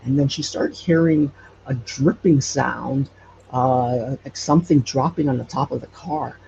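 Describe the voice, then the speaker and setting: A man with a mid-pitched, very creaky voice tells stories as a casual interview guest. He speaks haltingly and often searches for the right word. The recording is dull and lo-fi, with faint noise behind him. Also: a little mellow. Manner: halting, hesitant, searching for words. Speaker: podcast guest telling local legends.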